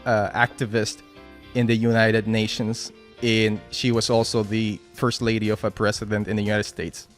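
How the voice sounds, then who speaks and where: A man's voice: fairly low pitch, little pitch movement, moderate pace, soft background music. A man with a Spanish accent giving a casual motivational talk at a team meeting, with frequent restarts and "right?" tags.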